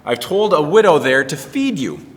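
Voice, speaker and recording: Echoey room, guy reading as god in a kids bible story, direct and sure, voice a bit high. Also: very animated, moderate pace. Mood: commanding, assured. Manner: direct, assured. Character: commanding, promising God in a children's Bible story. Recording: reverberant, echoing room.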